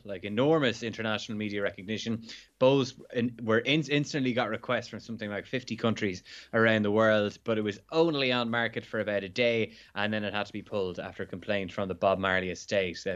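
An Irish man, a sports journalist, chatting as a radio guest, slightly croaky and hungover, with quick laughs and restarts. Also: on the low side, fairly bright tone, muffled recording. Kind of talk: radio phone-in chat.

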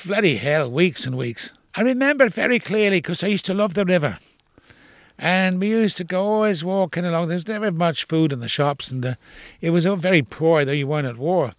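A man's voice: on the high side, no room sound, dull audio. Older male actor, Dublin accent, frank talker, bone-dry studio sound. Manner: expansive, frank, opinionated. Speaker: actor and playwright. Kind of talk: interview.

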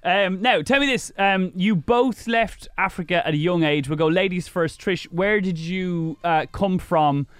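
A man's voice: high-pitched, unhurried, few pauses, clean tone, no echo. A man hosting a radio interview in a studio, chatty, with stumbling restarts. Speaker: radio host.

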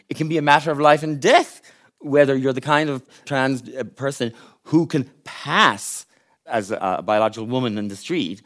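A man hosting a panel discussion, asking probing questions. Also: medium pitch. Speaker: host.

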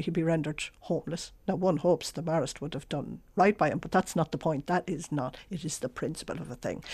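A woman speaking as an interview guest in a serious, measured and emphatic way. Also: low voice, darkish tone, slightly rough. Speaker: theologian and author, interview guest. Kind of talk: serious, deliberate interview talk.

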